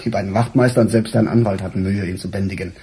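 An actor playing a weary, middle-aged police chief in a radio crime drama, musing in a husky, sardonic, halting way. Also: male voice, sits low, steady tone, dark tone, slight background noise. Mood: brooding, agitated. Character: weary, embattled male police chief, brooding and gruff. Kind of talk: naturalistic German radio crime drama acting.

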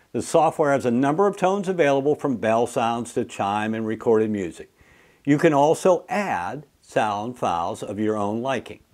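Man, fifties, medium pitch, animated intonation, scripted sales presenter, indoor studio. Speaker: company presenter. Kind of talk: scripted product presentation to camera. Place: indoor studio with plain backdrop.

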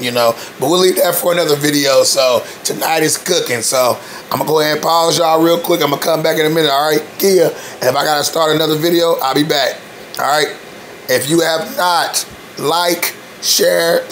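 Man, thirties, slightly hoarse, higher-pitched, loose slangy rambling, phone held at arm's length, home kitchen, faint room hum. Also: a bit bright. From Evansville, Indiana, US. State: a bit hoarse. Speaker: cooking vlogger. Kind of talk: casual home cooking vlog.

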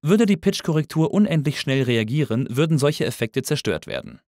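Guy doing a tutorial voiceover, explains step by step, animated pitch, super dry close sound. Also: moderate pace, no room sound. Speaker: audio engineering instructor. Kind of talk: scripted tutorial narration.

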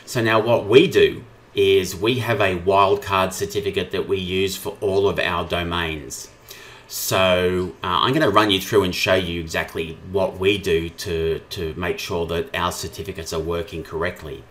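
A man giving an informal screen-share tutorial, explaining things step by step as a software trainer. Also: deep voice, fairly even intonation, normal speed.

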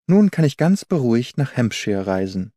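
A man's voice: mid-range voice, big pitch swings, normal speed, dark tone, dry recording, clean studio sound, lightly acted. A man voicing a resolute, plucky young woman with a determined manner.

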